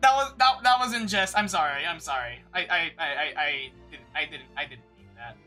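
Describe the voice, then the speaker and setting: A woman's voice: sits low, fairly quick, bright, forceful tone, soft background music. A woman livestreaming a game with casual, rambling commentary, often stammering and swearing, on a streaming microphone.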